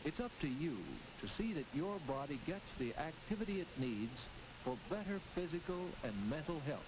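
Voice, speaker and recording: A man's voice: fairly high pitch, animated, normal speed. A man narrating in the style of a 1940s educational film, on a noisy old film soundtrack.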